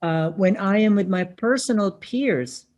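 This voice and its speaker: A webinar presenter with a Filipino accent, talking in an earnest, reflective way and often checking in with "right?". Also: male voice, high-pitched, unhurried, darkish tone. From Filipino-American family background. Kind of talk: conversational webinar talk.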